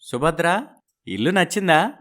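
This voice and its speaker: A man acts out an evasive young husband in a dramatic audio story. He is smooth-talking and persuasive, with a fairly high, animated voice and English words dropped in. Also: measured pace. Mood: persuasive, explaining.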